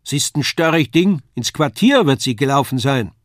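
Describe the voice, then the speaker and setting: A man puts on a harsh storybook mother who scolds in southern German dialect. The voice is pushed up high and loud. The manner is stern and unyielding. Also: expressive, moderate pace, no echo, clean studio sound, natural voice. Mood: scolding.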